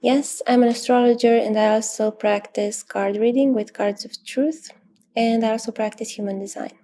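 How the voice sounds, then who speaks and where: Romanian woman, 30s, interview guest; mellow, gravelly tone, even delivery, pauses to think. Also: a little high. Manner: thoughtful, many pauses and fillers, soft laughs. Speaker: astrologer guest. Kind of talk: interview answers.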